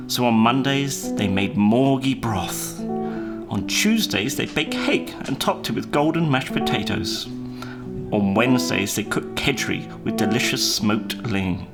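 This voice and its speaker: A man reading a children's story aloud in a measured, expressive storytelling manner. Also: medium pitch. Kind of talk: scripted children's story narration. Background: guitar music.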